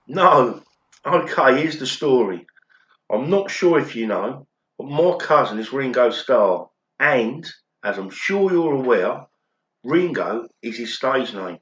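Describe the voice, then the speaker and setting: A man's voice: medium pitch, expressive, slow, fairly bright tone. A daft pop star played by a man tells his story earnestly in a radio play interview. The sound is roomy and a little muffled, like a home recording, with a chatty, self-important delivery. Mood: chatty, pleased. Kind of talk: amateur radio play acting.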